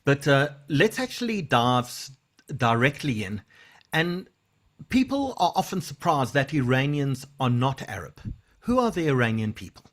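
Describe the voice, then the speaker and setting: A man hosts an interview, his voice at an ordinary pitch for a man. He puts his questions casually and trips over his words now and then, restarting his sentences. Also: big pitch swings, normal speed. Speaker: host.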